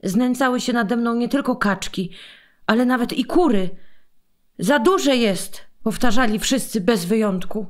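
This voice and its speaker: A woman's voice: a little high, unhurried, close to an everyday voice. A woman playing a sad, rejected young duckling, telling its story plaintively in a fairy-tale radio play. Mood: sad, wounded.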